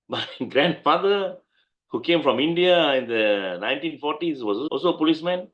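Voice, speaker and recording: A man's voice: fairly high pitch, animated, normal speed, dull audio. A middle-aged man with a Malaysian English accent, answering interview questions in an emphatic, conversational way and often repeating his points. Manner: emphatic, repeats points, laughs while recalling. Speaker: guest lawyer.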